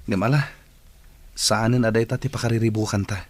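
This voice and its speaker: A man's voice: fairly low pitch, fairly even intonation, a little fast, close to an everyday voice. A man playing a concerned, pious town marshal in an Ilocano radio drama, speaking earnestly. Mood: worried.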